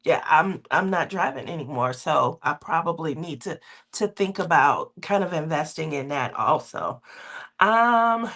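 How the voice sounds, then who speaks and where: A woman's voice: sits low, lively intonation, fairly bright tone. A woman hosting a casual craft livestream, sounding nasal and a bit under the weather from a cold, rambling and laughing between her thoughts. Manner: rambling, laughs often, drawn-out filler words. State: recovering from a cold, sore throat.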